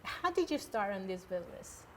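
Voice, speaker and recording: A woman's voice: medium pitch, very animated, moderate pace, a little hiss or hum. A woman hosting a casual interview show, talking at length with many fillers and restarts. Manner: rambling, many fillers, warm toward the guest. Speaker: host.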